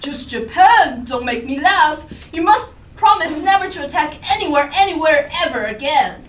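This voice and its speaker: A woman's voice: high voice, lively intonation, normal speed. A woman voicing a mighty young boy hero, cocky and commanding, in a puppet-show story. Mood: cocky, stern. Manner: bold, commanding. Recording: echoey room, distant mic, loud music.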